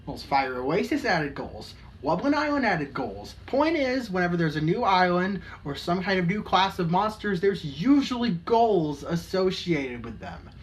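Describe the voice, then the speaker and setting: A woman's voice: fairly low pitch, very animated, measured pace, hardly any pauses, distant mic, slight background noise. A woman hosting a casual reaction commentary in a mocking tone, often stumbling and repeating words. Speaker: commentary host.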